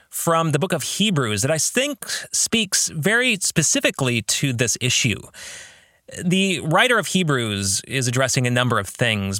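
Slow, bright-voiced man with animated pitch. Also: dry recording, studio quality. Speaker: podcast host and novelist.

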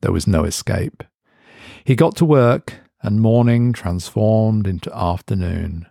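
A male narrator reads a scripted horror story with a low voice and a gravelly grain. His intonation moves a lot. The sound is dry and close, with no room around it. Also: a little slow, dark tone, dry recording. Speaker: narrator, reader of horror stories.

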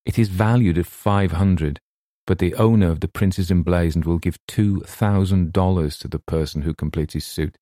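A patient, probing gentleman, as a male reader puts him on. He speaks in a gentle, measured way with a low, mellow voice. The recording is close and dry. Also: dry recording, lightly acted. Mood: earnest.

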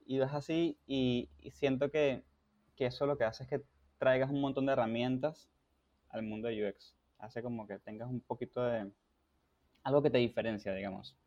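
A man from Venezuela with a Venezuelan accent, hosting a casual podcast interview with a relaxed, laughing manner. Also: mid-range voice, moderate pace, dull audio. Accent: Venezuelan Spanish. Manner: relaxed, laughs often, many fillers. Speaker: podcast host.

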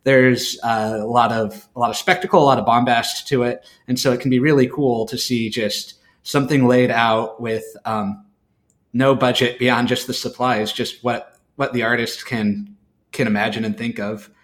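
A man with a mid-pitched voice chats casually as a co-host. His delivery is conversational and thoughtful, wandering from one phrase to the next. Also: fairly even intonation, moderate pace. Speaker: podcast co-host. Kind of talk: casual chat.

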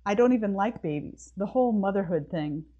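A woman's voice: medium pitch, animated, fairly quick, warm tone, highs cut off, natural voice. A woman voicing a blunt, exasperated woman character in a short story, indignant in manner. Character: blunt, exasperated woman rejecting a delivered baby.

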